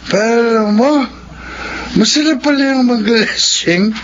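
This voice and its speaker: A man's voice: extremely high pitch, a little slow. A man playing a wounded, defiant younger brother in a Filipino radio drama, with a sullen, emotional delivery. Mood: drunk, slurring. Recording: dull lo-fi radio drama audio, noisy.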